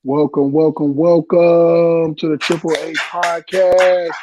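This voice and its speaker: A man's voice: fairly high pitch, little pitch movement, slow pace, very noisy. A man co-hosting a casual podcast, a bit congested from a cold, with a playful, teasing way of talking. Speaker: podcast co-host. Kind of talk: casual chat.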